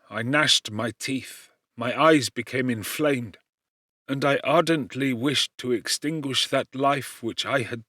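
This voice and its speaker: A male audiobook narrator giving a measured, intense first-person reading of a classic novel. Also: mid-range voice, a bit bright, no echo. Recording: dry close studio.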